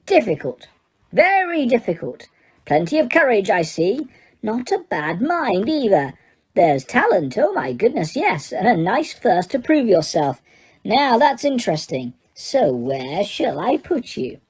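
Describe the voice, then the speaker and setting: A woman's voice: mid-range voice, very animated, measured pace, breathy edge. A woman voicing a magical old talking hat that muses and probes, drawing its words out. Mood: musing.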